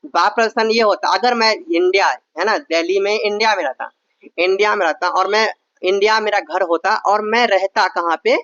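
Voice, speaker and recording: Young male exam tutor from Bihar; high voice, conversational explainer; close, dead room, muffled lo-fi sound. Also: moderate pace, fairly bright tone, dry recording. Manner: explains in casual asides, often asks "understand?". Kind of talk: exam-prep lesson, reading answers and explaining.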